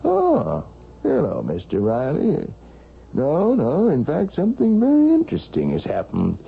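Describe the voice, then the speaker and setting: An actor playing an earnest hospital doctor in a vintage radio drama, explaining things in a deliberate, clinical way. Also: male voice, fairly high pitch, wildly swooping pitch, vocal fry, slightly rough, exaggerated voice. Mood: explanatory. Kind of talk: 1970s radio drama acting. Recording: tinny, band-limited vintage radio recording.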